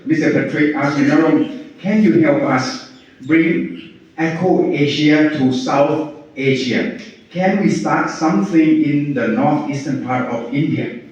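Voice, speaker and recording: A man from Thailand heading an organization's partnership team, addressing an audience at an event with halting phrasing, many fillers and restarts, and laughs at his own jokes. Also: fairly high pitch. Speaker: head of partnership engagement at a farming organization. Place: large hall at an event. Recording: echoey room, voice from far off, dull lo-fi sound.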